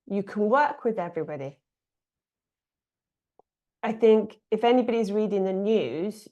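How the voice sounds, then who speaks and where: Calm English woman musing aloud. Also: medium pitch, lo-fi sound. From England. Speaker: spiritual teacher. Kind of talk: reflective spiritual talk.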